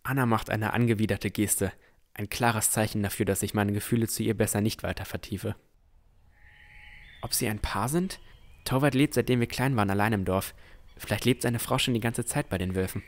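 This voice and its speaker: A man playing a dreamy, curious young villager who narrates a fantasy audio drama in measured tones. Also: on the low side, natural voice. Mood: wistful. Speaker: narrator and lead character.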